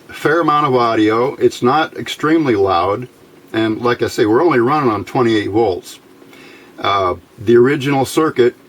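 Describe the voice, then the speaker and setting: A man giving an informal, somewhat halting hands-on electronics demonstration as the host. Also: mid-range voice, slight background noise. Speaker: host of electronics tinkering videos. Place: indoor workbench.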